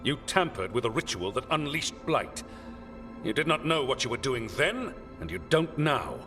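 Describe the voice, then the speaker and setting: An actor playing a stern, dismissive military commander in a fantasy game, authoritative and scolding. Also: male voice, mid-range voice, lively intonation, moderate pace, long reverb tail, music in the background, natural voice. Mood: stern, scornful. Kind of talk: dramatic fantasy game acting.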